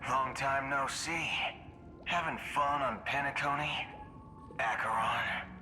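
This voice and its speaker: An actor voicing a cocky, mocking gunslinger bounty hunter in a video game, gruff and taunting. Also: male voice, medium pitch, takes it slowly, close to an everyday voice. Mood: menacing. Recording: background music.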